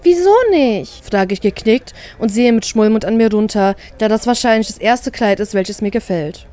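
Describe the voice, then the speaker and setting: Woman reading a story out loud, medium pitch, expressive first person narrator. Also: moderate pace.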